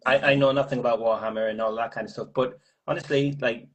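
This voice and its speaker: A man with a husky voice casually discussing poetry in a tentative, rambling way, often repeating words as he goes. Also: mid-range voice, fairly even intonation, fast talker, over a noisy crowd. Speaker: host of a live poetry reading.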